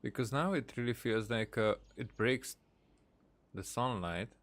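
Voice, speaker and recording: A man's voice: medium pitch, measured pace, fairly bright tone. A man streaming a game with casual live commentary, musing and hesitant as he thinks aloud.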